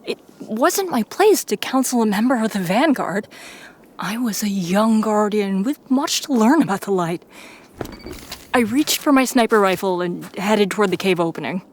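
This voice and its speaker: A woman's voice: mid-range voice, expressive, moderate pace, slight background noise. A woman reading scripted first-person narration for an audio drama.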